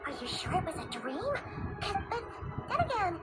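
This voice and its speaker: A woman voicing a small childlike fairy companion in a video game, excitable, bossy and caring, talking about herself by name. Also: very high voice, normal speed, over loud music. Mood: puzzled. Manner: refers to herself by name, exclaims.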